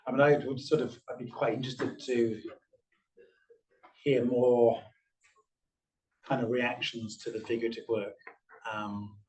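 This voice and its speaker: A man's voice: mid-range voice, level tone, a little slow, pauses often, a little mellow, distant mic, rough recording. A man speaking on an art panel, hesitant with frequent restarts and fillers. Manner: hesitant, many restarts and ums. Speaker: panel speaker from the artist's studio. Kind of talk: discussion panel remarks.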